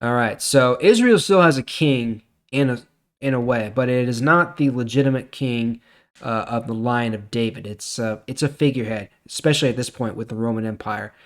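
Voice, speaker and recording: A man's voice: medium pitch, moderate pace. A man hosting a Bible reading series, moving between reading scripture and explaining it in an informal, emphatic way. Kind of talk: scripture reading with casual commentary.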